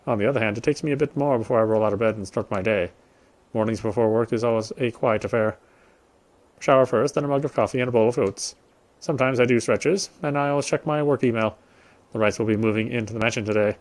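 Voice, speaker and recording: A man's voice: mid-range voice, fast, darkish tone. A man doing casual let's play commentary and reading a game's story text aloud. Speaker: game streamer. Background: game music.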